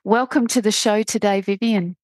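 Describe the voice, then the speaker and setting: A woman presents an interview podcast as its host. Her voice sits at a middle pitch and sounds dry, with no room around it. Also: fairly even intonation, normal speed, darkish tone, no echo. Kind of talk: scripted introduction and interview.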